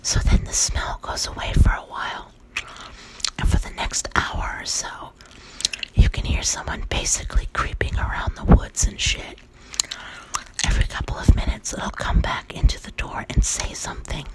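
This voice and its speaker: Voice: moderate pace, rough recording. A storyteller whispering a horror story throughout, in a loose, profane way.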